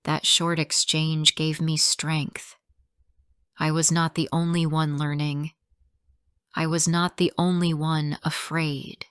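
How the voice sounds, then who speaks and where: A woman reading a simple first-person story for English learners, in slow, clearly spoken sentences. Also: low voice, little pitch movement, no echo, clean studio sound.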